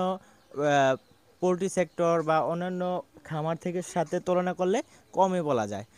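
A young man from Bangladesh talks earnestly to the camera as a small goat farmer, and his voice sits a little higher than most men's. He explains things step by step and often stops on a drawn-out "ah". He speaks outdoors in a farmyard, holding a small mic close to his mouth. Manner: earnest and explanatory, with frequent "ah" pauses. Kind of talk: casual advice talk to camera. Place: outdoor farmyard with goats and pigeons. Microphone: small handheld mic near the mouth.

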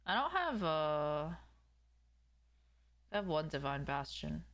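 A woman's voice: low-pitched, very animated, takes it slowly, fairly bright tone, no echo. A young adult woman streaming a game, chatting casually and playfully with her viewers in slangy speech. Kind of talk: casual live stream chat while gaming. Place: small room at home, indoors.

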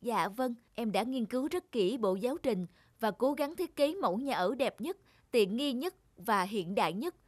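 Woman voicing an earnest young male student, fairly high. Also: animated, moderate pace, darkish tone, no room sound. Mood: troubled, polite. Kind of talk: animated moral tale dubbing.

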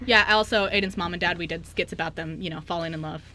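A woman's voice: on the low side, fast talker, sharp tone. A woman hosting a radio show in casual, joking, rambling banter. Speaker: radio show host and skit writer.

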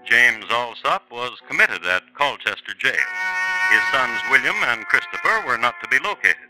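A man's voice: medium pitch, expressive, normal speed, loud music behind the voice, poor audio. A man narrating a 1950s radio crime drama in a dry, wry storytelling manner.

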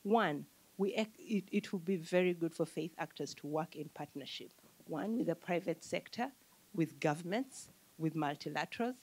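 A woman in her thirties from Uganda, speaking as an economist on a panel into a handheld mic, explaining in a measured way and listing her points. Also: on the low side, a little mellow, husky. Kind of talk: panel discussion answer. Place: conference room with audience.

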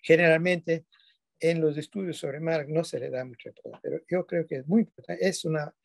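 A man's voice: on the high side, fairly even intonation, fairly quick, gravelly, dry recording, clean studio sound. An older man whose first language is not Spanish, giving an online lecture from a dim room at home over a webcam, digressing and often self-correcting with tag questions. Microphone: video call webcam.

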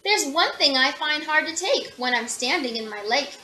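A woman's voice: high-pitched, expressive, moderate pace, bright, forceful tone, slight background noise. A woman voicing a fussy, polite storybook hippo in a prim, mannered way. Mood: fussy, gracious.

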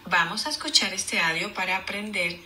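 A woman teacher reading aloud to children in a deliberate, explanatory way, enunciating for young learners. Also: mid-range voice, pushed and bright, a little hiss or hum. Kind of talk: children's read-aloud lesson.

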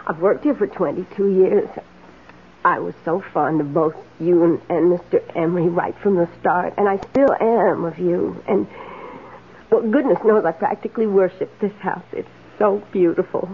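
An actress playing a devoted middle-aged housemaid in a radio drama, husky, deferential, earnest and hesitant. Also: female voice, fairly low pitch, normal speed, slight background noise, close to an everyday voice. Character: devoted, humble, long-serving female housemaid. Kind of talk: old-time radio drama acting. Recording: muffled, band-limited old radio broadcast.

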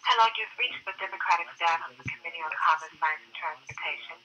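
A woman's voice: lowish voice, fast talker, bright, forceful tone, dull audio. A woman reading a scripted voicemail announcement, heard through a telephone speaker. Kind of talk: scripted recorded phone prompt.

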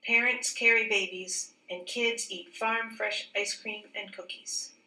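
A woman teacher reading a children's picture book aloud in a careful, expressive, clearly phrased way. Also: mid-range voice, a little slow, slight background noise. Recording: roomy echo, distant microphone.

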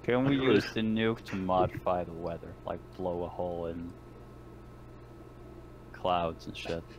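Low-voiced, blunt male gamer. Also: steady tone, measured pace, slight background noise. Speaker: tabletop game player. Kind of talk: casual gaming chat, sweary.

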